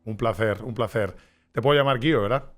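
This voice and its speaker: A man's voice: fairly low pitch, fairly quick, a bit bright. A man hosting an interview podcast, talking with many hesitations and restarts. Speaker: podcast host.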